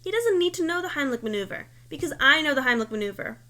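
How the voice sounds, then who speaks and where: A woman reading a humorous personal essay aloud in an expressive, emphatic, mock-stern way. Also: sits high, quick pace.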